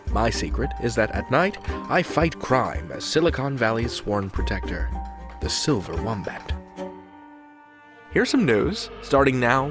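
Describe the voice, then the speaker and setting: A man hosting a podcast, reading a scripted intro and promo in a theatrical, playful way. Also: medium pitch. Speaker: podcast host. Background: music bed.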